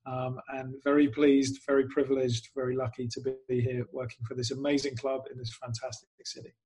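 A man's voice: mid-range voice, flat delivery, fairly quick, darkish tone, husky, low-quality recording. An English man from the UK, chatting casually as an interview guest, self-deprecating and full of "um"s. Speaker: guest, club PR executive.

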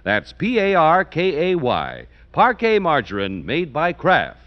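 A man announcing a 1940s radio show and reading its sponsor ad in a booming, theatrical style. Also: huge pitch swings, measured pace, muffled recording.

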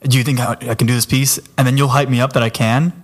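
A man's voice: medium pitch, level tone, fast talker. Self-deprecating male podcast guest. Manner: self-deprecating, laughs often. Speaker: podcast guest, tattoo artist. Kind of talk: casual chat.

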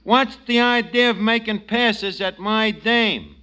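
High-pitched, blustering wiseguy coward. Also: male voice. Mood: blustering indignant. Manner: slangy, blustering, fast-quipping. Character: brash, wisecracking, cowardly male schemer dodging a duel. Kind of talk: 1940s radio comedy acting. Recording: noisy old radio recording with clicks.

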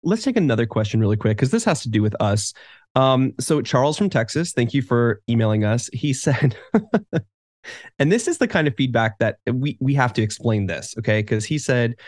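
A man's voice: medium pitch, lively intonation, normal speed, dry recording, clean studio sound. A man co-hosting a podcast in casual chat, with wry, sarcastic humor. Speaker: podcast co-host.

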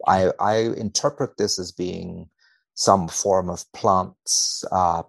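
A man speaking as a researcher guest on a podcast, dry and mock-serious in a measured delivery. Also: sits low, fairly even intonation, vocal fry. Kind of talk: podcast chat and reading aloud from a study.

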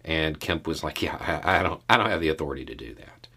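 A man giving casual solo news commentary as a host, in a measured, halting way with deliberate pauses. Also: a bass voice, expressive, sharp tone, breathy edge.

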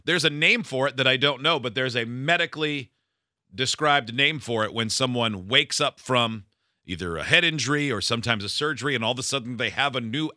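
A man's voice: mid-range voice, fairly quick, sharp tone, no echo, studio quality. A man co-hosting a podcast in casual chat, joking around and now and then dropping into silly put-on accents. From American Midwest. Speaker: podcast co-host.

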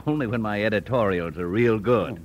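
An actor playing a wry, defiant American frontier editor with a folksy manner in an old radio Western. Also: male voice, fairly low pitch, moderate pace, slight background noise, natural voice. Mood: wry, excited. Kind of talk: old-time radio Western drama acting.

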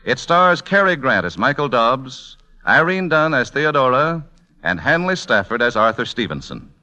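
A man's voice: medium pitch, big pitch swings, moderate pace, sharp tone, lo-fi sound. A male announcer introducing a radio play in formal, dramatic 1940s showman style.

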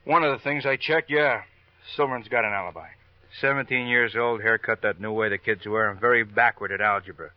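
An actor playing a weary, edgy American police detective who grumbles in terse fragments in an old-time radio crime drama. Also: male voice, on the low side, brisk, dry recording, lightly acted. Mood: weary reporting. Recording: dull, dry vintage radio recording.

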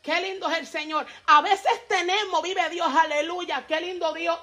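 A woman's voice: fairly even intonation, normal speed, sharp tone. A woman preaching a fervent sermon, repeatedly breaking in with praise and bursts of tongues and pushing her voice up to stress each point. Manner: fervent, peppers speech with "vive Dios, aleluya" and tongues; rises in pitch when stressing points. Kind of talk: Pentecostal-style sermon.

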